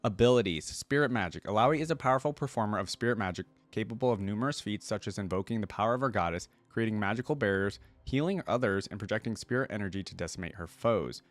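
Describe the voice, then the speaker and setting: A man's voice: on the low side, expressive, fast talker, few pauses, no echo. A man hosting a reaction video, casually thinking aloud and reading bits of text out with conversational commentary.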